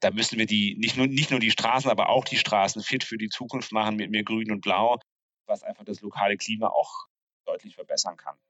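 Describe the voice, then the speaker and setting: Low-voiced man explaining city planning. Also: steady tone, a little fast, bright, forceful tone, dry recording, lo-fi sound. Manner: hesitant, many fillers and restarts. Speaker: cycling infrastructure planner. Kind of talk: conversational expert explanation.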